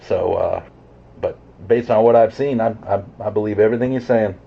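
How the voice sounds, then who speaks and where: A man chatting casually over CB radio in a halting, low-key way. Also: creaky, a little hiss or hum. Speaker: CB radio operator. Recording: dull, muffled CB radio signal.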